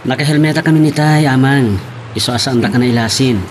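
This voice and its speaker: An actor playing a plain-spoken company driver in a radio drama, with a Filipino accent. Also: male voice, medium pitch, quick pace, close to an everyday voice. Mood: casual. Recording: faint background noise.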